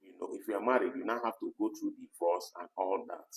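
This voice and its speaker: A man's voice: low-pitched, fast talker, vocal fry, low-quality recording. Chatty man co-hosting a talk. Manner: chatty, many "you know" fillers, reads out viewer comments. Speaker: co-host of an online talk program. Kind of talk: casual live discussion.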